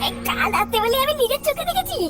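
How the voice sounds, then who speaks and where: An excitable youngster in a village comedy cartoon. The voice is an extremely high, processed squeak with very lively swings, insistent and emphatic, over loud music. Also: rapid-fire. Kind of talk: exaggerated comic cartoon dialogue.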